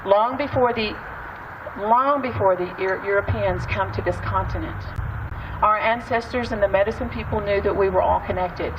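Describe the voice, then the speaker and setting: A woman with an East Texas accent, a storyteller giving an earnest, halting prayer and talk with many restarts. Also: mid-range voice. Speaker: storyteller and speaker. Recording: distant muffled mic, loud traffic outside.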